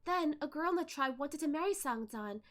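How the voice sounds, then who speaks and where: A woman voicing a sorrowful young female ghost, telling her tale in a halting, plaintive way for a story reading. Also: high-pitched, animated, pitched above the performer's own voice. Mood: sad, bitter.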